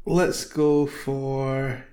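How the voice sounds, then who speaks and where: A man's voice: medium pitch, little pitch movement, extremely slow, warm tone. A man in his thirties taking part in casual quiz banter. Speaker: quiz podcast contestant.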